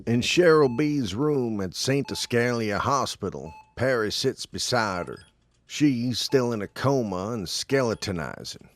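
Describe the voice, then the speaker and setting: A man's voice: mid-range voice, animated, measured pace. A man reading scripted scene narration for an audio drama.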